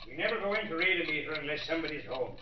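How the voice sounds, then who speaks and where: A man's voice: on the high side, expressive, rapid-fire, close to an everyday voice. An actor playing a matter-of-fact gas company meter man calling from outside in a 1940s radio drama. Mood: routine calling. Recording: distant, echoey, dull, noisy old radio recording.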